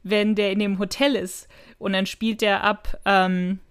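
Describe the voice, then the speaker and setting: A woman's voice: medium pitch, little pitch movement, a little slow, smooth. A woman co-hosting a podcast in casual conversation, chatty and colloquial, often giggly as she talks. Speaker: podcast co-host. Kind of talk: casual chat and plot retelling.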